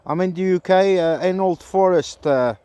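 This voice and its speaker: A man making halting, repetitive radio calls and casual commentary during an outdoor antenna test. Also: sits high, mellow, clear, resonant tone, no room sound. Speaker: amateur CB radio operator testing an antenna. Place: outdoors in a park.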